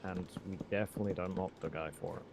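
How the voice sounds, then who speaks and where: Casting: deep-voiced male gamer, halting, wry delivery, casual chat, clearly noisy mic feed. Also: fairly even intonation. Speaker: gamer playing Minecraft with friends. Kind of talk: casual group game chat.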